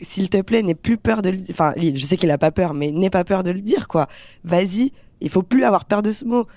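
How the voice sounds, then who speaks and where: A young actress from France, talking earnestly in an interview with many fillers and restarts. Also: female voice, medium pitch, brisk, dark tone, dry recording. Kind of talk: casual interview talk.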